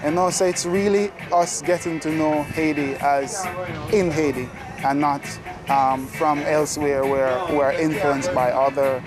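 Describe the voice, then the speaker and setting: A Jamaican man giving sincere, hesitant interview answers with many pauses. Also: on the high side, steady tone, over music.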